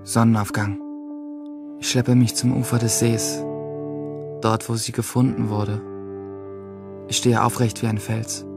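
A man's voice: medium pitch, flat delivery, a little slow, vocal fry, pauses often. An actor playing a guilt-ridden teenage boy in a radio play, narrating in terse, clipped fragments. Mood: grim resolve. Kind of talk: radio play interior monologue. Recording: music behind the voice.

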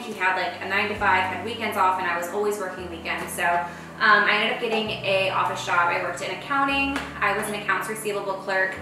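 A woman in her late twenties chatting casually as a vlogger while cooking in a home kitchen, bubbly and full of "like"s. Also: mid-range voice. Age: late 20s. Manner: chatty, bubbly, heavy use of "like". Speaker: lifestyle vlogger. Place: large home kitchen, indoors. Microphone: far camera mic. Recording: echoey kitchen from far off, background music.

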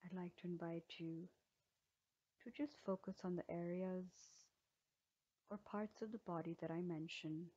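A woman yoga teacher leading a guided healing meditation in a slow, soothing way with gentle pauses. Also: lowish voice, dull audio.

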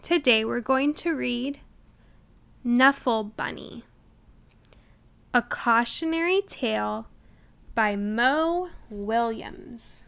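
Very slow, high-voiced woman reading aloud. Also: gravelly, smooth. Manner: deliberate, expressive enunciation. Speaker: storybook reader. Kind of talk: children's read-aloud narration.

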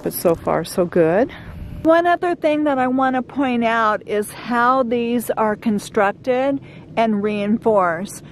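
A middle-aged woman talks at an unhurried pace, chatty and explanatory, walking through her setup with plenty of "okay" and "um." She is recorded outdoors at a desert campsite, with steady wind and vehicle noise clearly audible behind her voice. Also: on the high side. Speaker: van life vlogger. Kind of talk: casual how-to vlog talk. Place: outdoors at a desert campsite under a sun shade.

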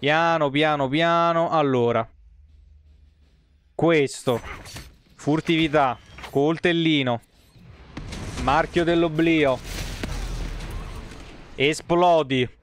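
A man's voice: fairly high pitch, very slow, pauses often, a little hiss or hum. A man, muttering casual, foul-mouthed commentary as he streams a game. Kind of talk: casual live gameplay commentary. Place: small indoor room, streaming setup.

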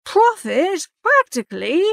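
A man plays a naive young gentleman in a comic storybook, explaining himself earnestly. The voice is very high and lively, full of nervous hesitation, and recorded dry and close. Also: takes it slowly, creaky, no echo, exaggerated voice. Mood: nervously eager. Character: nervous, naive young upper-class nephew, eagerly scheming. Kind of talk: audiobook character acting.

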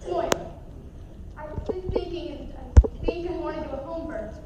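A woman's voice: sits high, moderate pace, lightly acted. A young woman acting a sweet, apologetic young wife in a live amateur stage drama. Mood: gently hopeful. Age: teens or young adult. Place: large indoor theater stage. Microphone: distant audience camera. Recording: distant echoey hall with loud noise.

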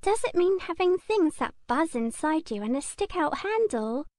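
A woman's voice: sits high, big pitch swings, normal speed, a little mellow, dry recording, studio quality, voice pushed up. A woman voicing a small, innocent young toy rabbit in a storybook, asking questions with childlike wonder. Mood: curious, timid. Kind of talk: storybook audiobook character reading.

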